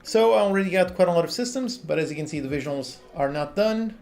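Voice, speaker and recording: A man's voice: high-pitched, quick pace, gravelly. A Portuguese man in his thirties with a Portuguese accent, chatting casually on a livestream as he works on a game and answers viewers' questions frankly. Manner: relaxed, reads chat questions aloud and answers frankly. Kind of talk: casual livestream chat while working. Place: home office, indoors. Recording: quiet lofi music underneath.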